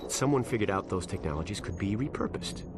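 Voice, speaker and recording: An actor playing a calm villain scientist who made a killer virus, speaking in a cool, smug, lecturing tone. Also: male voice, fairly low pitch, fast talker, very noisy, lightly acted. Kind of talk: game cutscene voice acting. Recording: over loud game music.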